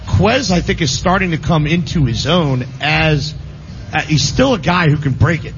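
A man hosting a casual sports radio show, talking fast and cutting in on his co-hosts. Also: a little high, lively intonation. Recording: dull lo-fi broadcast with faint hiss.

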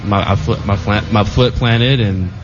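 Young man, athlete guest, low voice, halting answers, lo-fi noisy sound. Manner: halting, full of fillers. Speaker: guest football player. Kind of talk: casual interview answers. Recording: dull lo-fi with clear background noise.

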